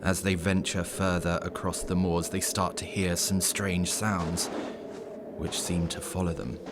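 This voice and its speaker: A man narrating a scripted horror story in an ominous, measured storytelling manner. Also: low voice, little pitch movement, heavy background noise.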